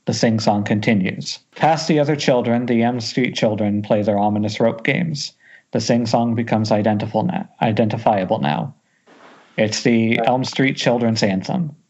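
A man's voice: lowish voice, darkish tone, husky. A man reading scripted stage directions as narrator at an amateur table read. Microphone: Skype call. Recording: dull lo-fi internet call.

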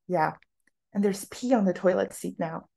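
A woman's voice: mid-range voice, moderate pace, gravelly, warm tone. A woman reading the part of an exasperated ninth-grade schoolgirl who complains to her friend in a novel. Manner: complaining, sighing.

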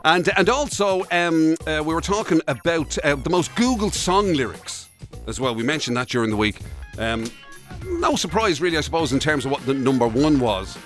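A man's voice: a little high, sing-song, moderate pace, a bit bright, faint music behind. An Irish man co-hosting a radio show in casual, conversational style.

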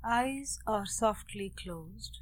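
A woman leading a guided meditation, speaking in short, measured phrases with long pauses between them. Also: mid-range voice, lively intonation. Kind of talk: guided meditation with opening Sanskrit chant.

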